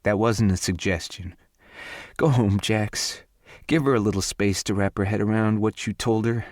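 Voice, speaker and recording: A sardonic young woman, as a male narrator puts her on, protective of her friend and blunt with everyone else. Her lines come out dry and firm, read in his low voice without much lift, close and dry. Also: steady tone, moderate pace, no echo, lightly acted.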